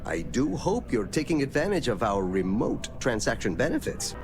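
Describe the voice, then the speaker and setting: A man's voice: mid-range voice, sing-song, normal speed, music in the background, lightly acted. An actor playing a courteous bank teller in a video game, reciting slogans like a salesman. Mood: courteous, canned. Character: polite, scripted male bank teller spouting slogans.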